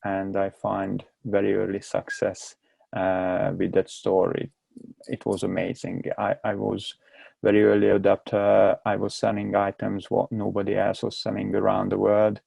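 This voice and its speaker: A man's voice: fairly low pitch, monotone, moderate pace, creaky, a little mellow, husky, dry recording. A man in his thirties from Hungary with a Hungarian accent, speaking English as a second language and telling his story as a podcast guest, often repeating words as he searches for them. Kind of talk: casual interview talk.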